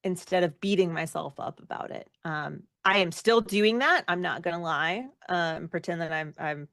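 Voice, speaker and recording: A woman's voice: on the low side, moderate pace, fairly bright tone, no echo, studio quality. A woman giving casual, reflective interview answers as a guest, candid and thinking aloud with frequent self-corrections.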